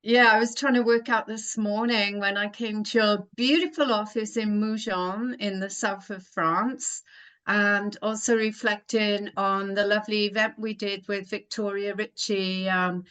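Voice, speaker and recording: Slow-speaking woman host. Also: medium pitch, a bit bright. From Australia. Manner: slow, careful, welcoming delivery. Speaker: podcast host. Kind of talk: interview hosting.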